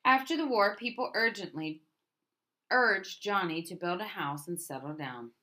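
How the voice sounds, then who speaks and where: A woman reading a picture book aloud as a storyteller, in a deliberate manner with emphatic openings to her phrases. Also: mid-range voice, lively intonation, sharp tone. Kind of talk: scripted picture book narration.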